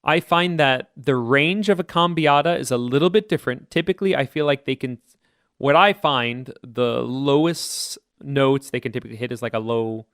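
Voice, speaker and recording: A man talks through choir voice types in a relaxed, explanatory podcast chat, often hedging his points. His voice sits at an ordinary middle pitch. The sound is dry and close, with no room around it. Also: moderate pace, smooth, no room sound. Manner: thoughtful, explanatory, hedging with "I would say". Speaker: podcast co-host, choir teacher.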